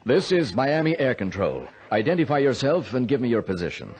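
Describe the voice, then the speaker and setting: An actor playing an earnest air control operator, delivering dramatic radio narration. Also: male voice, medium pitch, big pitch swings, brisk, darkish tone. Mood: urgent. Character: earnest male air control operator recounting a sea rescue.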